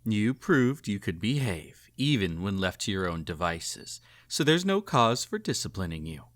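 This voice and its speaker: Cruel male emperor, acted by a man, lowish voice, pompous and teasing, speaking intimately to the listener in audio drama. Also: big pitch swings, normal speed, fairly bright tone. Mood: silky, commanding. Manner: pompous, formal, teasing, patronizing. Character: cruel, possessive male emperor, silky and condescending.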